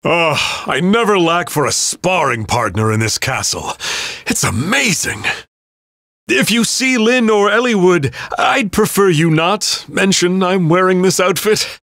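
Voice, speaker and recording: An actor playing a cocky, hearty young armored warrior lord in a video game, with a bold, playful swagger. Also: male voice, sits high, big pitch swings, a little slow, fairly bright tone, no room sound, studio quality, full character voice. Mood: cocky, easygoing. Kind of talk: English video game character voice clips.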